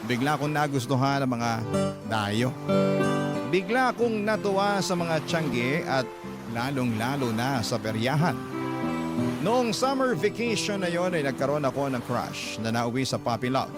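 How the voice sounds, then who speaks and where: A man's voice: mid-range voice, very animated, unhurried. A man narrating a listener's letter in the first person as a radio host. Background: prominent acoustic guitar music.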